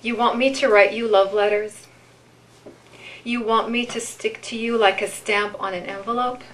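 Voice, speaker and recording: A woman giving a live poetry reading, with deliberate, measured delivery and informal commentary between pieces. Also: fairly high pitch, steady tone, fairly bright tone, slight background noise, poor audio. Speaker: poetry reader.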